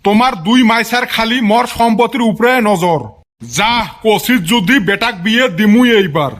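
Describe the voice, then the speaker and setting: Irascible, sarcastic village dad acted by a man, unusually high voice, Rangpuri Bengali accent, cartoon comedy, faint noise. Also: normal speed, fairly bright tone. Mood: grudging. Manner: scolding, sarcastic. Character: gruff, sarcastic, hot-tempered village father. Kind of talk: broad cartoon comedy acting. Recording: slightly noisy cartoon voice track.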